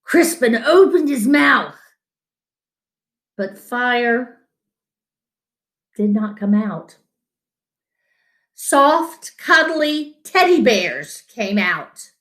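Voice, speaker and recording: A middle-aged woman tells a children's story at a very slow pace. Her expressive reading rises and falls widely, in an echoey room. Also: fairly high pitch. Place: wood-panelled living room, indoors.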